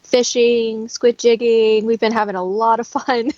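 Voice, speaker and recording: A woman talking casually as a guest in a relaxed conversation. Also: a little high, measured pace, muffled recording. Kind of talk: casual chat.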